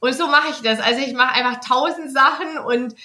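A woman's voice: high-pitched, a little fast, sharp tone. A woman in her late twenties with a Swabian accent, a guest chatting on a podcast, bubbly and enthusiastic, her voice stuffy from a cold. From near Nürtingen, Swabia. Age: 20s. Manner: bubbly, enthusiastic, laughs a lot. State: stuffy nose, worn voice. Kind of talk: casual chat.

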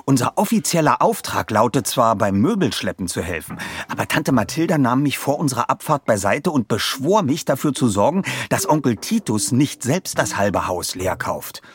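A man's voice: mid-range voice, sing-song, normal speed, slightly rough, pitched above the performer's own voice. A man playing an articulate, formal, self-assured teenage boy detective in an audio story. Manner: wordy, formal.

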